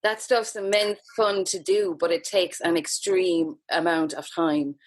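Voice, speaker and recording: A woman's voice: lowish voice, steady tone, a little slow, crowd noise. A woman with an Irish accent, talking casually as a podcast host. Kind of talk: casual interview hosting.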